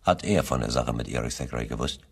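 An actor playing a probing, deliberate police investigator in a German crime radio play, questioning in a measured, formal way with a gravelly voice. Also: male voice, extremely low pitch, expressive, heavily acted. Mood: pressing.

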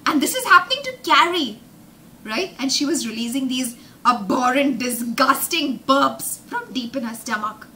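A young woman telling a story as a children's teacher, dramatic and emphatic, pausing to explain words with synonyms. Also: high voice, animated, bright, forceful tone. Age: young adult. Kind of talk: animated storytelling lesson with explanations. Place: indoor room with glass-fronted bookshelves.

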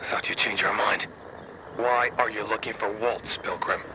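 A man's voice: fairly low pitch, steady tone, a little slow, noisy background, close to an everyday voice. An actor playing a secretive, bargaining male fixer in a video game, talking in a terse, knowing way. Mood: suspicious, knowing. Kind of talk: video game dialogue acting.

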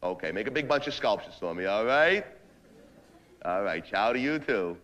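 Actor as a volatile, animated office boss. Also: male voice, on the low side, moderate pace. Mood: smooth, flirtatious. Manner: repetitive, badgering, swinging between ranting and smooth-talking. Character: volatile, manipulative, foul-mouthed male office boss on phone calls.

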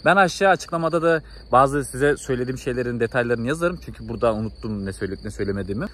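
A man's voice: mid-range voice, expressive, very fast, fairly bright tone. A man, chatting informally to camera as a travel vlogger outdoors. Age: 20s. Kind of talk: casual chat to camera. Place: outdoors on trails and in a village. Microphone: phone or action camera at arm's length. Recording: outdoor ambience and background noise.

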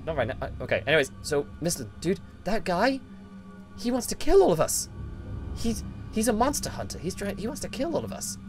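A young man voicing a hotel owner in an improvised game roleplay, excitable and quick to exclaim. His slightly high voice swings up and down all over the place. Faint music plays behind him.

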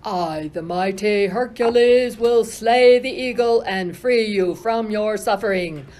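A woman's voice: mid-range voice, takes it slowly, darkish tone, lightly acted. A woman playing a proud, mighty male hero of myth, declaiming in a radio play.

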